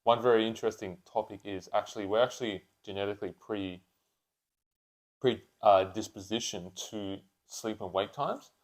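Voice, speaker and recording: A man's voice: on the low side, moderate pace. A man in his twenties chatting casually and unscripted as a podcast co-host, with blokey, sweary, slangy talk. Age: 20s.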